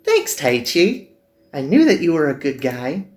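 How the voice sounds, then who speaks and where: Man putting on an earnest high-pitched magical girl. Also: huge pitch swings, a little slow, roomy sound. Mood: grateful. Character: earnest, gentle young magical girl recruiting a newcomer. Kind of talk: visual novel character dubbing.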